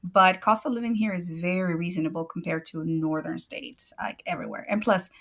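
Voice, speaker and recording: Gravelly chatty woman, Spanish native. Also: fairly low pitch, steady tone, fairly quick. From El Salvador. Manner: chatty, laughs often, many restarts. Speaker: guest. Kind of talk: casual livestream chat. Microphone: video call.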